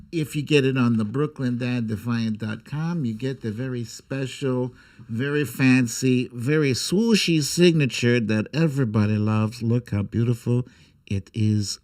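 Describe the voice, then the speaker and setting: A man hosting a comic political livestream, speaking with sarcastic, irreverent bite and theatrical, drawn-out emphasis. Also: medium pitch, a little mellow. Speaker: host of a political humour livestream. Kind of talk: comic political commentary and mock storytime reading.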